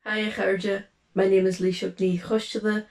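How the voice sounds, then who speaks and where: A poet from Ulster with an Irish accent speaking calmly in a recorded video message. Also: female voice, medium pitch, unhurried. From Ulster, Ireland.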